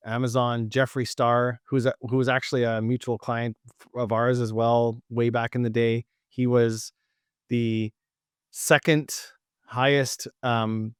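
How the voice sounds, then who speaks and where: A man's voice: medium pitch, smooth, no echo. Upbeat male podcast host, dry sound. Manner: enthusiastic, upbeat praise of the guest. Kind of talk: interview host chat.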